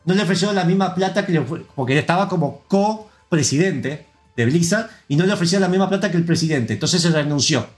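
An Argentine man hosts a relaxed gaming podcast in a somewhat high voice, rambling from one news item to the next and reading listener comments aloud. Soft music plays faintly underneath. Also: a little fast. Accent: Argentine Spanish. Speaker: podcast host. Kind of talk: casual gaming news chat.

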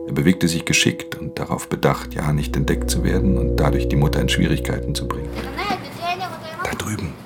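An actor playing a weary, watchful pickpocket who narrates a radio crime drama in a measured, introspective way. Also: male voice, rumbling low. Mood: discreet, wary. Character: male professional pickpocket, haunted, watchful, weary loner.

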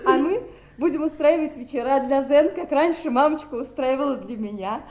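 A woman's voice: high voice, moderate pace, a crowd in the background. An actress in a 1970s Soviet radio play playing a naive, wistful wife who speaks in a girlish, nervous, pleading way. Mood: wistful, dreamy. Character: wistful, naive, fluttery wife longing for her old home.

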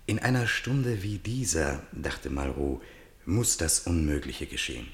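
A man narrating a literary radio play in a solemn, deliberate, declamatory way. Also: rumbling low, expressive, reverberant.

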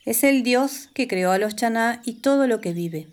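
Solemn ancient villager acted by a woman, somewhat high voice, measured, devout, children's radio play. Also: animated, darkish tone, natural voice. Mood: solemn, reverent. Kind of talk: children's radio theatre acting.

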